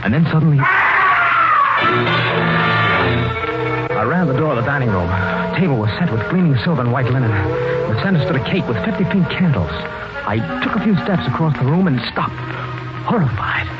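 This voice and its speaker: A man's voice: mid-range voice, very animated, fast, close to an everyday voice. An actor playing an earnest, wronged young man who narrates his tale dramatically in a 1940s radio mystery. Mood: horrified. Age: young adult. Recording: muffled 1940s radio recording with music.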